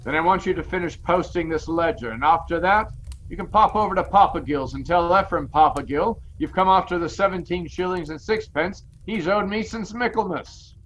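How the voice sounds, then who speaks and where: A man's voice: high-pitched, moderate pace, a bit bright, rough recording. An actor playing an irritable, miserly old businessman in a radio play, barking with scorn and dismissing everyone. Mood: curt, grumbling.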